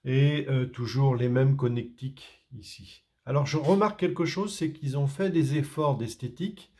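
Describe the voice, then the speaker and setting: A man's voice: medium pitch, normal speed, darkish tone. A man from France doing a casual unboxing, talking hesitantly with many fillers and restarts. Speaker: tech reviewer.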